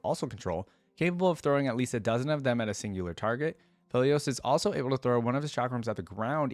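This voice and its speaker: A man's voice: lowish voice, dry recording. A man hosting a reaction video, reading wiki text aloud and thinking aloud in an informal, curious way.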